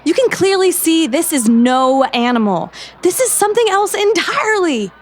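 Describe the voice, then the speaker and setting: A woman's voice: very high voice, big pitch swings, moderate pace, soft background music. A woman acting a bold, indignant young woman in an audio drama. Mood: indignant, urgent. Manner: assertive.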